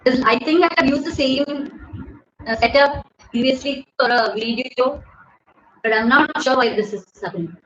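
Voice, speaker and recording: A woman in her thirties with an Indian English accent, hosting a casual live Q&A from her bedroom and filming on her phone. She has a loud voice, chats easily, laughs often and thanks viewers by name. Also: high-pitched, normal speed. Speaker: livestream host. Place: bedroom at home. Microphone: phone camera at desk distance. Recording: echoey room, dull phone audio, faint hiss.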